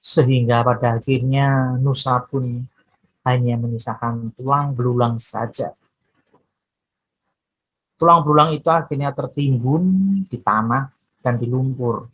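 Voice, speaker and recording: A woman storyteller narrating a children's folktale expressively, with deliberate, drawn-out dramatic phrasing. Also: very deep voice, steady tone, slightly rough, rough recording.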